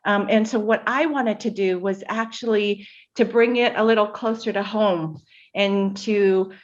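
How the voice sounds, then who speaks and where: A woman's voice: mid-range voice, steady tone, measured pace, highs cut off. A middle-aged woman from Hawaii giving an informal personal talk as an invited speaker at a community event.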